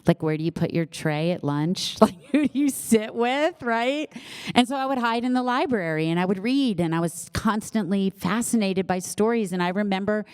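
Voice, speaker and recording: A woman's voice: mid-range voice, animated, normal speed, darkish tone, clear, resonant tone. A middle-aged woman author with a slight lisp, chatting casually and self-deprecatingly with an audience, with lots of fillers. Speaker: author at book launch. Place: event hall with live audience.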